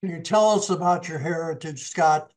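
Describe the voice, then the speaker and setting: Casting: male podcast host, fairly high voice, short friendly questions. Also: normal speed, darkish tone. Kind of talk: interview questions.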